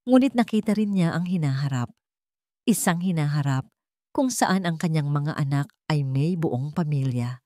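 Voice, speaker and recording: A woman reading a scripted dramatic story as narrator, with measured, deliberate phrasing. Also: sits low, animated, dark tone, no echo, studio quality.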